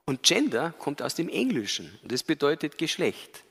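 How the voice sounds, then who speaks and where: A man's voice: a little high, sing-song, moderate pace, creaky, fairly bright tone, slightly rough. A man in his fifties with a Bavarian accent with an Austrian tinge, giving an earnest, explanatory public lecture with frequent hesitation sounds. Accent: Bavarian with a slight Austrian tinge. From Bavaria, Germany. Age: 50s. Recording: long reverb of a lecture hall.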